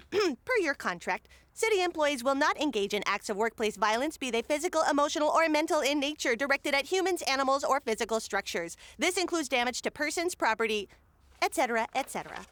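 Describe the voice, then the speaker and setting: A woman's voice: high voice, expressive, fairly quick, a bit bright, slight background noise. A woman playing a breezy, falsely gracious, scheming town mayor in a comedy audio drama, with a theatrical, officious manner. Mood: coolly officious.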